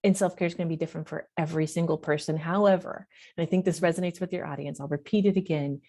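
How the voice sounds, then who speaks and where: A woman counselor speaks in long, earnest runs, stressing and repeating her key points. Her voice sits a little low and sounds mellow and warm. She talks in an easy interview style with the odd filler. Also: fairly even intonation, quick pace. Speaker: professional counselor. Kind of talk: conversational podcast interview.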